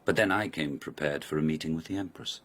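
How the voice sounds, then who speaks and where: A sardonic lord of an old Japanese court in a radio play. His voice is very low and husky. He speaks formally, with mocking, insinuating scorn. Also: quick pace, fairly bright tone. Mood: scornful. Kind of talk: radio drama acting.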